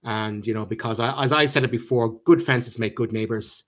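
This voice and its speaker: An Irish man hosting a live-stream chat, answering viewers' questions in a halting way, with many ums and restarts. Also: mid-range voice. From Ireland.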